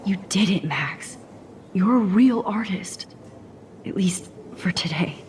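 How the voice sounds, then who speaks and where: An actress playing a shy, self-doubting young photography student in a video game, with a hesitant, understated and wry delivery. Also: female voice, mid-range voice, lively intonation, takes it slowly, darkish tone, slight background noise. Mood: hushed self-encouragement. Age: young adult. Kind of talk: naturalistic video game dialogue.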